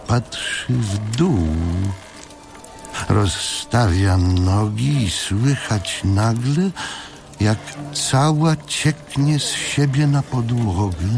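A man narrates literary fiction with a very slow, deliberate delivery. His voice is creaky and swings widely in pitch as he breaks the story into short, dramatic phrases. Music plays behind him. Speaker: audiobook narrator.

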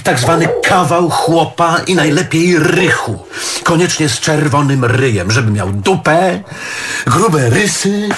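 A man's voice: on the high side, noisy background. An actor playing a jealous, wounded, fussy man in a stage drama, nagging and accusing in a repetitive way. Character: jealous, wounded man leaving his lover, brooding and fussy. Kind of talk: theatrical stage dialogue.

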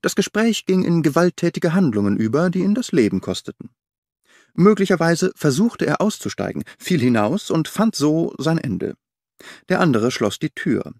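A man reading the part of a sharp-witted detective reasoning aloud in an audiobook. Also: a little high, sing-song, moderate pace, dry recording, clean studio sound, voice pushed up.

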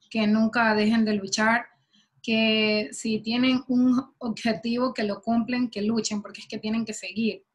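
A woman's voice: on the high side, low-quality recording. Hesitant young woman from Ecuador. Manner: soft-spoken and hesitant, with many fillers. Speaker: softball player. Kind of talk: interview over video call.

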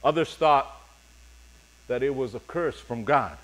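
A middle-aged man preaching a sermon as pastor, reading scripture and speaking deliberately in short, paused phrases. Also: medium pitch, lively intonation, a bit bright. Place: church sanctuary, speaking from a pulpit on stage.

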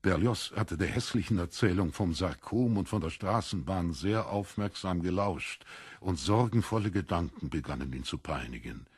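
A man's voice: deep voice, animated, normal speed, husky, dry recording, studio quality. A man narrating a literary audiobook from a script.

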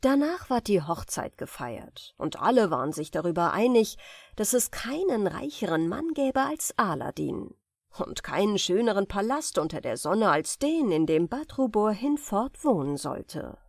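A woman narrating a fairy tale as a scripted audiobook, in an expressive storytelling manner. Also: medium pitch, a little slow, creaky, dry recording, studio quality.

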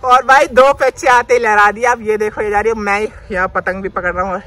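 A man's voice: high-pitched, steady tone, brisk, bright, forceful tone. A man, chatting casually and animatedly to viewers as a vlogger, often repeating his phrases. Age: 20s. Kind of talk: casual vlog chat and running commentary. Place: open urban rooftop, outdoors. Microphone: phone camera, near to a few metres away. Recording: noisy outdoor phone recording with people around. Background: outdoor city noise, voices.

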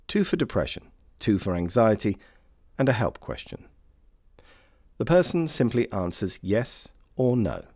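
A man reading scripted instructional narration for a health training film in a formal, measured way. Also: lowish voice, very animated, a little mellow, no room sound, clean studio sound.

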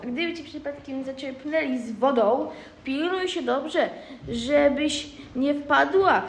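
A woman's voice: sits high, takes it slowly. A young girl playing a proud, taciturn old grandmother toad in an amateur radio play. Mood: cautioning. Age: child or young teen. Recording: echoey room.

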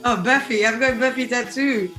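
A woman's voice: a little high, moderate pace, sharp tone. A young woman from France with a French accent, chatting casually as an interviewed singer on a video call. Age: young adult. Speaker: band singer being interviewed. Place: indoor room by window, video call. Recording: roomy echo, music behind.

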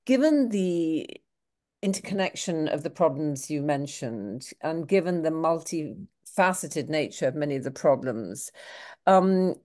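An older woman on a video call from her home study, asking a question at a seminar in brisk, quick bursts. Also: sits low, expressive, mellow. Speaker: program director asking a question.

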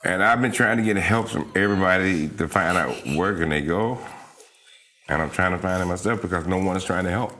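Very deep-voiced middle-aged man. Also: brisk, sharp tone, a little hiss or hum. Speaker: interview guest, father of young children. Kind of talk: plain personal account in an interview. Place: small TV studio living-room set. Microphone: lapel.

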